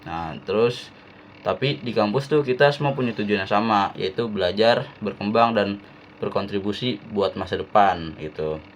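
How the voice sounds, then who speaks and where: A young man from Jakarta, a university student giving a relaxed, slangy class-assignment talk with frequent fillers. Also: lowish voice, normal speed, slight background noise. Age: young adult.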